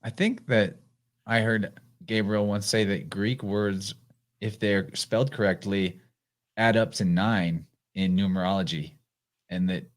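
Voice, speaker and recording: A man hosting a live podcast in casual chat, relaxed and reading out listener comments as he goes. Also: sits low. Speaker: podcast host.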